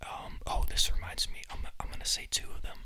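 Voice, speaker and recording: A young man whispering as he casually tells stories to listeners, full of fillers, recorded on a phone at a friend's house. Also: brisk. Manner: chatty and giggly, many fillers, whispering throughout. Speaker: podcast host.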